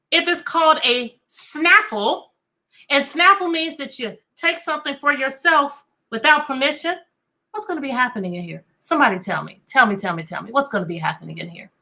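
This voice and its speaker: High-pitched, bright-voiced woman reading to kids. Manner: animated, coaxing, repeats questions to draw answers. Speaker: teacher reading a picture book aloud. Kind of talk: interactive children's read-aloud.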